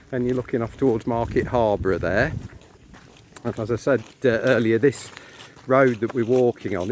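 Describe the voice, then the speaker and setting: Englishman chatting outdoors over clear noise. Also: medium pitch. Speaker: co-host of a walking vlog. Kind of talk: casual chat with local guide commentary. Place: outdoors on a country lane. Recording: outdoor phone recording with clear background noise.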